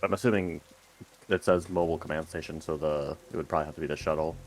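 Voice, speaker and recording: A man's voice: extremely low pitch, fairly even intonation, fast. A man chatting casually as a player in a tabletop roleplaying game.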